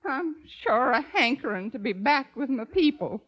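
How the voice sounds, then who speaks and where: An actress playing a cheerful, ignorant backwoods schoolteacher with an exaggerated hillbilly accent in a radio comedy. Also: female voice, high-pitched, animated, moderate pace, lo-fi sound, heavy background noise. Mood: folksy, cheerful. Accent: exaggerated American hillbilly. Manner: drawling, ungrammatical hillbilly talk. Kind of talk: 1950s radio comedy acting before an audience. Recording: 1950s radio broadcast, music, laughing audience.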